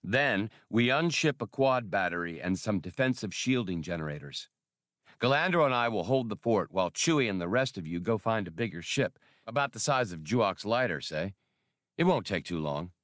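A man's voice: on the low side, lively intonation, moderate pace, a bit bright, no room sound, clean studio sound. A man voicing a cocky, pragmatic starship pilot and smuggler with a blunt, confident way of talking, in an audiobook. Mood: confident, wry.

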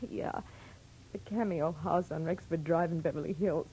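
An American actress playing a worried, gentle young female client in a 1940s radio drama, with a soft, husky voice. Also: low voice, normal speed, rough recording. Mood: anxious, subdued.

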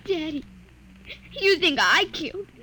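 A woman's voice: very high-pitched, expressive, unhurried, background noise. An actress playing a frightened young girl in a vintage radio drama, tearful and pleading. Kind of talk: 1950s radio drama acting. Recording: vintage radio recording with music behind.

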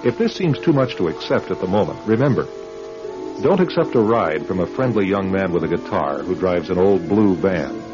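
A man narrates a radio drama as its host. His voice is fairly deep and widely inflected, with a measured announcer's sense of drama. The recording is slightly muffled. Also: animated. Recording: dull radio recording, music behind.

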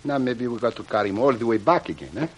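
A man's voice: mid-range voice, sing-song, fast, faint music behind, close to an everyday voice. An actor in an old radio serial playing an eager, bumbling henchman with accented broken English. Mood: grumbling. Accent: put-on foreign, broken English. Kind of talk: old-time radio adventure acting. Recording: noisy old radio recording with clicks.

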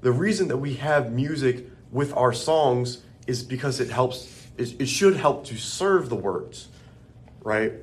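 A man with a deep bass voice co-hosting a podcast, speaking in a friendly, conversational way and checking in with his listeners. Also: expressive, a little slow. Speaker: podcast co-host. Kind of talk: casual religious discussion.

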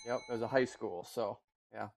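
A man running a quick trivia segment on a podcast, reading questions and confirming answers in a brisk, matter-of-fact way. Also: mid-range voice, creaky, rough recording. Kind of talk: running a quiz.

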